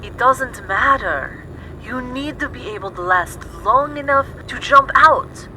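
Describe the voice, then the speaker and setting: A woman's voice: a little high, animated, a little slow, pushed and bright, heavily acted, rough recording. A woman playing a caring, teasing and bossy female mechanic friend in an audio drama. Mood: firm, caring. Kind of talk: audio drama dialogue over a call. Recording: clear vehicle and street noise behind.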